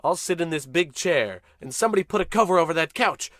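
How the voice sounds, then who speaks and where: An actor playing a pompous, dim-witted, bossy American spaceship captain in a comedic old-style radio play. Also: male voice, fairly high pitch, animated, quick pace, fairly bright tone, no room sound, clean studio sound. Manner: pompous, bossy, self-important.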